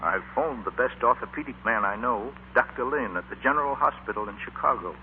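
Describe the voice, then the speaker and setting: A man's voice: mid-range voice, expressive, fairly quick, natural voice. An American man playing a sober, formal family doctor in a 1940s radio drama. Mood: grave. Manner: formal, serious. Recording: tinny, noisy 1940s radio recording.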